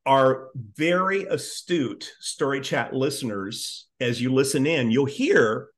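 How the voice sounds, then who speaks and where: A man in his fifties hosts a casual chat. His voice is of average pitch. He speaks earnestly and effusively, often saying a word several times over. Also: takes it slowly. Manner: effusive, earnest, repeats words. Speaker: podcast host, interviewer. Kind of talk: casual, conversational hosting. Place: living room at home, on a video call.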